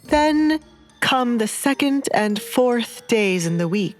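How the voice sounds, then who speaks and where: Woman voicing a flustered priest, slow and high. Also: animated, soft background music. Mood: exasperated, firm. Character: flustered, exasperated young male priest.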